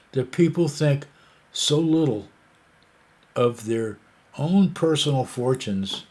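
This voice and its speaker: An American man talks in an unhurried, rambling way, stopping often for fillers and restarts. His tone is indignant as he comments on the news, and his slow delivery lets each point drag out. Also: medium pitch, a little mellow. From United States. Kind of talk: casual opinion monologue.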